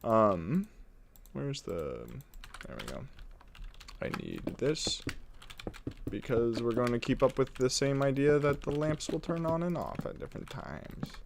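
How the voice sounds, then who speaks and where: Brief: congested male game streamer, lively up-and-down delivery, playful rambling, faint keyboard clicks behind. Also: mid-range voice, unhurried, creaky, pauses often, noisy background. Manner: playful, rambling, chuckles often. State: congested, runny nose. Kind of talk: casual live-stream chat while building.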